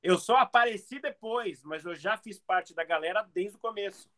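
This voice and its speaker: A middle-aged man talking casually as an interview guest, telling stories in an animated, conversational way. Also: high-pitched, normal speed. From outside Brazil. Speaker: guest, comedian and TV reporter.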